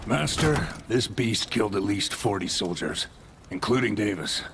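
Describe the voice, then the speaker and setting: An actor playing a grave, disciplined knight officer in a video game, speaking and narrating in a solemn, formal way. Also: male voice, on the low side, animated, moderate pace, noisy background. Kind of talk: scripted dramatic game dialogue and narration. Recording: music behind the voice.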